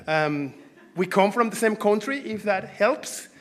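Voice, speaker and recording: A man's voice: high-pitched, animated, a bit bright. Venezuelan-born man giving a conference talk. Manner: conversational, halting, frequent fillers and restarts. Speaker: researcher presenting at a symposium.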